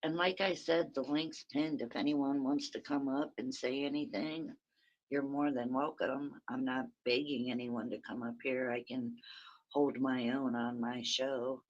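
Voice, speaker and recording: A woman with a very raspy voice, hosting a rambling, chatty early-morning livestream from her phone and talking to viewers by name. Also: rumbling low, steady tone, measured pace, dull audio. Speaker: livestream host.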